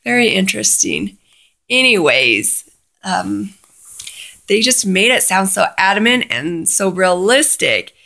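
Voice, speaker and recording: A woman's voice: medium pitch, sing-song, slow pace, gravelly, pushed and bright. A woman casually telling a personal story in a chatty way, often quoting both sides of a conversation.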